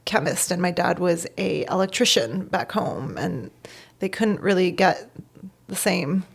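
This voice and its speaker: Gravelly-voiced woman, chatty and candid. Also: fairly low pitch, expressive, measured pace. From Bosnia, raised in Canada. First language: Bosnian. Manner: chatty, frequent laughs and "like" fillers, candid. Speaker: podcast host, guest in this episode. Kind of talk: casual personal storytelling.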